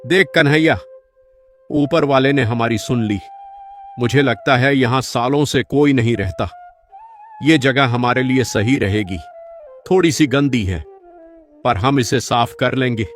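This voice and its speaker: A man playing a humble, patient old village uncle in an animated moral story, speaking earnestly and reassuringly. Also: mid-range voice, unhurried, long pauses, natural voice. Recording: music behind the voice.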